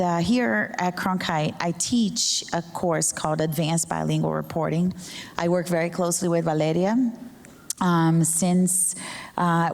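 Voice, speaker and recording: A woman's voice: on the low side, vocal fry, darkish tone. A middle-aged woman from Brazil, a native Portuguese speaker, talking informally to an audience as a professor on a panel, searching for words at times. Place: large hall with an audience. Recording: echoey hall with long reverb.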